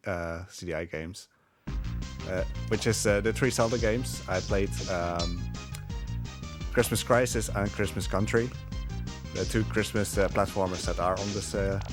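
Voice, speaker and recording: A man giving casual live speedrun commentary, hesitant and rambling as he plays. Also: on the low side. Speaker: speedrunner commentating on own run. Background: game music.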